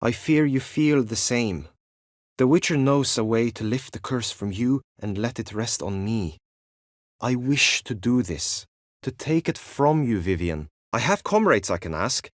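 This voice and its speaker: An actor playing an earnest, lovestruck young knight in a video game, speaking in a courtly, theatrical manner with formal archaic phrasing. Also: male voice, medium pitch, lively intonation, measured pace, no room sound, studio quality, close to an everyday voice. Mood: tender, devoted, then earnest, subdued.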